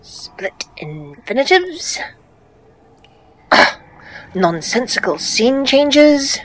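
Withering script editor woman acting: deliberate, emphatic, very expressive disdain for comic radio play. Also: high-pitched, background noise, exaggerated voice. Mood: disdainful. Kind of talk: comedy audio drama acting.

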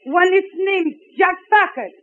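A woman's voice: very high voice, unhurried. An actress playing a haughty foreign woman dancer who speaks broken English in a thick dialect, in 1940s radio drama style. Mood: dutiful. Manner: proud, formal, reverent. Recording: tinny old radio broadcast recording, faint hiss.

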